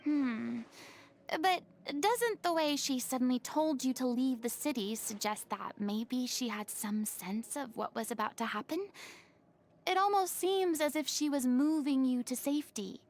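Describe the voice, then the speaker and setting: A woman's voice: high-pitched, measured pace, a little hiss or hum. An actress playing a curious, perceptive young woman in a game, asking probing questions.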